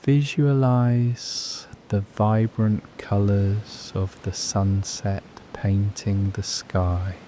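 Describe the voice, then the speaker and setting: A man guiding a scripted meditation in a soothing, gentle, measured way, leaving long pauses between phrases. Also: fairly low pitch, a little hiss or hum. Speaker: meditation guide.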